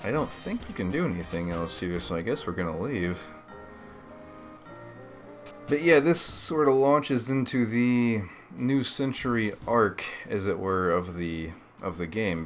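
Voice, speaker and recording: A man's voice: on the low side, expressive, moderate pace, few pauses, faint music behind. A man giving casual, rambling game commentary as a let's play host, laid-back and slangy.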